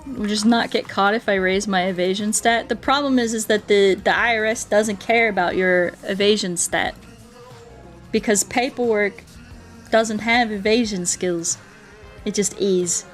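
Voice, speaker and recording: A woman's voice: mid-range voice, unhurried, faint music behind. A woman livestreamer in casual, playful, rambling chat, answering her viewers aloud as she plays a game.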